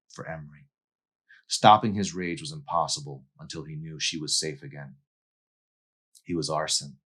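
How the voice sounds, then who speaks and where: Male narrator, extremely low voice, theatrical and intense story reading. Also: a bit bright. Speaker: author reading his novel aloud. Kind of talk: dramatic audiobook narration.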